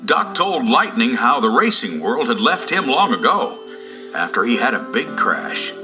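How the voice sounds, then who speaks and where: Expressive male storybook narrator, lively pitch. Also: moderate pace, over music. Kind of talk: scripted children's storybook narration. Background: loud musical score.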